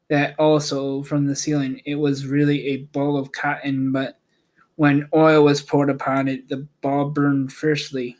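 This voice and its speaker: Guy reading a kids storybook out loud, mid pitch, takes it real slow. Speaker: audiobook reader and show host. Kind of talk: storybook narration.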